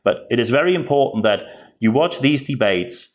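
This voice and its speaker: A man's voice: mid-range voice, expressive, normal speed. A man with a German accent, speaking English as a second language, hosting a podcast and giving direct, earnest commentary with frequent repeated words. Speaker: podcast host.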